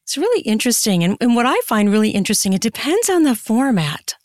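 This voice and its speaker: A woman's voice: fairly high pitch, big pitch swings, fast, darkish tone, no echo. Chatty woman guest, dry close sound. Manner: chatty, explanatory, rambling. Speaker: podcast guest, erotic fiction author and podcaster. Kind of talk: casual interview chat.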